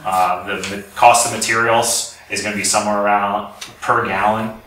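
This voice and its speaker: A man's voice: on the low side, level tone, normal speed, a bit bright. An American man presenting informally and answering audience questions in a conversational, explanatory way. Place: echoey lecture room with an audience. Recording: roomy, echoey hall, distant mic, faint noise.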